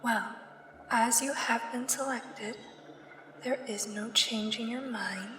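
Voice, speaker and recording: A woman playing a soothing but uncanny government examiner in a sci-fi ASMR roleplay, speaking in a hushed, measured and formal way. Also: fairly high pitch. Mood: formal, persuasive. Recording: echoey long reverb, faint background noise. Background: sci-fi ambience.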